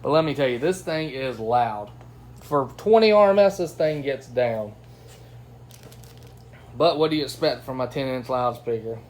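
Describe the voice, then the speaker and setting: A man's voice: mid-range voice, expressive, moderate pace, pauses often, a little mellow. A man with an enthusiastic, slangy, folksy way of talking, giving a casual product review as host. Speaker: host of product unboxing and test video. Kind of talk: casual unboxing review chat. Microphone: phone's built-in microphone. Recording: noisy phone camera recording.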